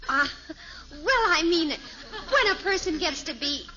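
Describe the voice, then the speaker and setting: A woman's voice: extremely high pitch, animated, slow, bright, forceful tone, exaggerated voice. A woman playing an eager, whiny young daughter in a 1950s radio sitcom. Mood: dramatic, pleading. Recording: muffled, noisy old radio recording.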